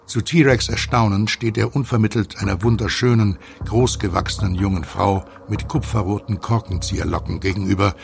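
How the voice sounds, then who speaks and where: A man reading scripted audio drama narration in a measured, suspenseful way. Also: on the low side, steady tone, gravelly, faint music behind.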